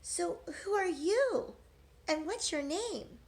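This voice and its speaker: A woman's voice: very high voice, animated, takes it slowly, pitched above the performer's own voice, full character voice. A woman voicing a determined young girl character in a storybook, speaking boldly and firmly. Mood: questioning. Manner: bold and firm.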